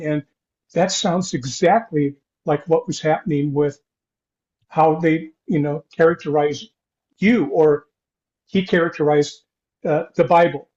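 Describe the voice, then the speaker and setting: Older man, halting, dull lo-fi sound. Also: a little high, darkish tone. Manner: halting, rambling delivery with repeated false starts. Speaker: podcast host. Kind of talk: conversational interview.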